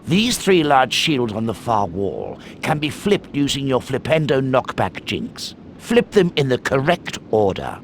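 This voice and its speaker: An actor playing an eccentric, courteous old wandmaker in a video game, speaking in a deliberate, theatrical, musing way. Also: male voice, medium pitch, wildly swooping pitch, background noise. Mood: instructive, encouraging. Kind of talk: scripted video game character acting.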